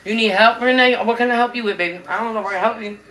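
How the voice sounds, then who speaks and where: A woman's voice: a little high, racing, fairly bright tone. A woman, chatting casually with livestream viewers while she cooks in her home kitchen, talking into a tablet on the counter. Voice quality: raspy. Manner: chatty, greets viewers by name, drawling. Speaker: cooking livestream host. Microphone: tablet on the counter, close.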